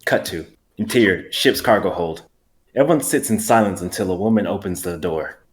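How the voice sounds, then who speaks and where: A man's voice: deep voice, a little fast, slight background noise. A man reading stage directions aloud in a live dramatic reading, plain and matter-of-fact.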